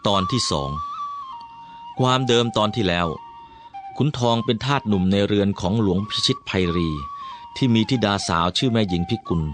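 Low-voiced male narrator over music. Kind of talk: scripted radio drama narration. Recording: studio narration over loud flute music.

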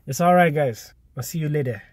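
A man's voice: a little high, a little fast, noisy background. A man in his thirties with a stuffy, congested nose, tired, talking casually to a vlog camera in a car. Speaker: vlogger. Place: inside a parked car in daytime. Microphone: camera at close range.

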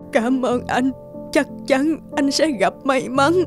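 A woman's voice: high-pitched, slow pace, over music. A woman voicing a frail, grateful old peasant mother in a cartoon. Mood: tearful gratitude.

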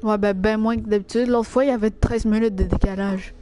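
A woman's voice: mid-range voice, steady tone, fairly quick, mellow, soft background music. A woman with a Quebec French accent chatting casually while livestreaming a game, slangy and crude in her teasing. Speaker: video game streamer.

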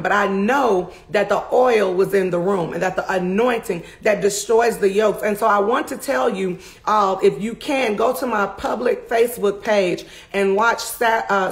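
Raspy, fervent woman preacher. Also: mid-range voice. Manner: fervent, emphatic, gesturing while preaching. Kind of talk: sermon recap to camera. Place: home study with bookshelves, indoors.

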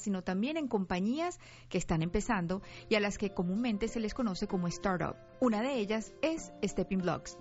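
Woman narrating, measured. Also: medium pitch. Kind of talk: scripted narration. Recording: slightly dull audio with music. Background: music.

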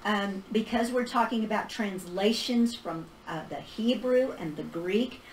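A middle-aged woman teaching a Bible class in a casual, animated way, laughing often and holding a mic. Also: mid-range voice, a little slow, a little hiss or hum. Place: small church hall with projector screen. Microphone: handheld mic. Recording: roomy hall recorded from far off.

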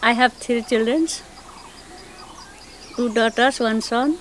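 Slow-speaking high-voiced woman in her forties. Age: 40s. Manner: slow, halting short phrases. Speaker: housewife. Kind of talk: documentary interview. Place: outdoors on a hillside. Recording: outdoor interview with clear background noise.

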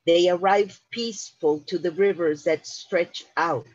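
A man giving a measured reading of poetry over a video call. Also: sits high, fairly even intonation, no echo. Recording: dull lo-fi video call audio.

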